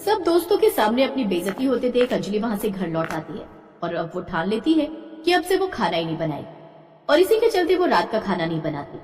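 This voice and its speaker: A woman's voice: medium pitch, big pitch swings, a little fast, a little mellow. A woman reading scripted story narration as the narrator. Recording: echoey hall-like reverb.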